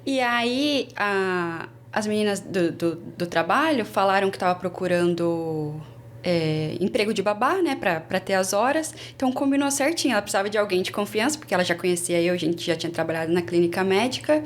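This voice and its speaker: A Brazilian woman in her twenties, a former au pair, telling her story in a casual interview with lively restarts. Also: medium pitch, fairly quick, slight background noise. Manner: chatty, animated, many restarts.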